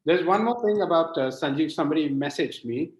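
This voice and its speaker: Relaxed male host in an echoey room. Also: a little high, a little fast. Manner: relaxed, light-hearted questioner. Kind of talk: hosting a live online interview. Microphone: video call. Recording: roomy, dull lo-fi call audio.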